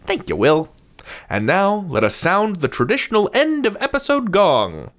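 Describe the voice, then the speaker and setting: A man's voice: high-pitched, huge pitch swings, moderate pace, a bit bright. A man hosting a podcast, reading scripted announcements and credits. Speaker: podcast host.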